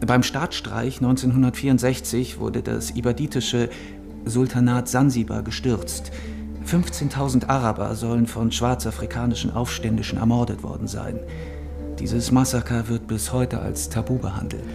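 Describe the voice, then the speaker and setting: A man's voice: medium pitch, fairly even intonation, moderate pace, gravelly, over music, close to an everyday voice. An actor playing an uneasy male art gallery owner in a radio drama, explaining things in an informative way. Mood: explanatory. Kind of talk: radio drama acting, measured dialogue.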